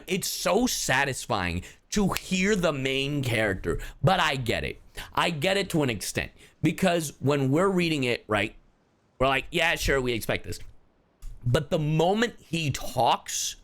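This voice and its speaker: A man doing casual, slangy livestream commentary as a streamer reacting to a game in an animated way. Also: on the high side.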